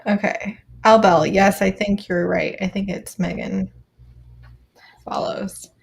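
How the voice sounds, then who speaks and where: A woman's voice: medium pitch, steady tone, takes it slowly, gravelly, breathy edge. A woman chatting casually on a live group video call, slipping into acted-out quotes as she talks. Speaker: booktuber on a live readalong panel. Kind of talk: casual chat. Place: live group video stream, webcam or phone.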